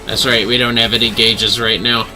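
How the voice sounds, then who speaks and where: A man's voice: medium pitch, level tone, normal speed, bright, forceful tone. A man giving casual live gameplay commentary, talking to himself and swearing easily as he plays. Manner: easygoing swearing, talks to himself. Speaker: game streamer. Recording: music behind the voice.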